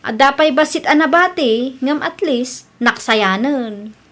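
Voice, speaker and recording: A woman acts out a young married daughter-in-law in an Ilocano radio drama. Her voice sits a little high, with an Ilocano Filipino accent. She is respectful, apologetic and earnest with her elders. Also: slow pace, a bit bright, heavily acted. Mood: humble, earnest.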